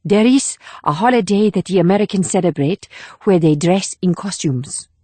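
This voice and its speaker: Actress as a measured, steely female assassin. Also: on the low side, lively intonation, mellow, dry recording. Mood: assured, resolute. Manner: measured, formal, clipped short statements. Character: cool, controlled, steely female North Korean assassin leading a mission. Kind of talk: dramatised audiobook dialogue.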